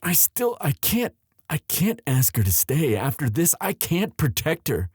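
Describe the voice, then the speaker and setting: A man playing a lovestruck young prince in an intimate audio roleplay, tender and protective, with a halting, emotive delivery. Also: a little high, big pitch swings, darkish tone, husky, dry recording, studio quality, exaggerated voice. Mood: anguished. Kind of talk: boyfriend ASMR audio roleplay drama.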